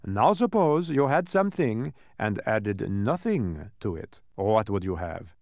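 A man's voice: on the low side, sing-song, a little slow, darkish tone, dry recording, studio quality. A man voicing a cheerful, smug geometric creature that explains things eagerly in a storybook. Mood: eager, instructive. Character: cheerful, smug, many-faced dodecahedron creature.

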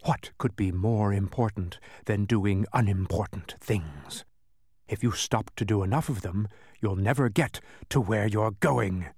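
A man voicing a sly demon villain of petty tasks in a storybook, menacing and falsely soothing, with drawn-out, sneering delivery. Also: on the low side, a little mellow, breathy edge, no room sound, clean studio sound. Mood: sour.

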